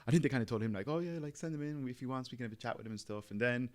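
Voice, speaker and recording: A man's voice: medium pitch, racing. A man in his thirties with an Irish accent, chatting casually as a podcast guest, rambling with plenty of fillers. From Ireland. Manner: rambling, full of fillers like "you know". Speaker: guest, tattoo artist.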